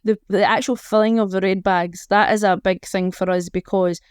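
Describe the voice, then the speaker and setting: A woman's voice: medium pitch, fairly even intonation, normal speed, darkish tone, smooth, dry recording, clean studio sound. A woman with a Scottish accent chatting informally as a charity organiser being interviewed. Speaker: charity organiser, podcast guest.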